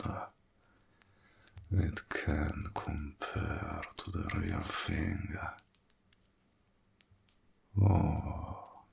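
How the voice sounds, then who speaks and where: A man playing a seductive, menacing warlock in an intimate roleplay audio drama, smug and possessive, teasing in a gravelly, breathy half-whisper. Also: a bass voice, a bit bright, heavily acted.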